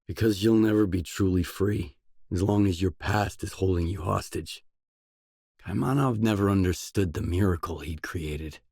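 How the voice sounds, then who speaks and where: An actor playing a determined, brooding stalker in a grim video game, with a measured, serious, understated delivery. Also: male voice, a little mellow, no echo, clean studio sound. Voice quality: low, husky. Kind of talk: game voice acting, isolated dialogue lines.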